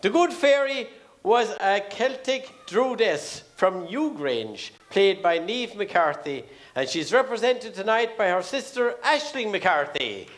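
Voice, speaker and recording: A man with an Irish accent making formal, measured announcements as master of ceremonies on a theatre stage. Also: high voice, big pitch swings. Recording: live theatre stage with audience. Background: audience noise.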